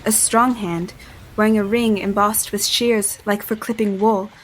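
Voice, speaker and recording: A woman's voice: mid-range voice, steady tone, a little hiss or hum, close to an everyday voice, rough recording. A woman playing an anxious, bewildered young farm girl in an audio drama, both speaking and narrating. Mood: tense narration. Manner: halting, breathless, anxious stammering. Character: bewildered, frightened farm girl trapped in another woman's body. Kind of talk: audio drama acting and first-person narration.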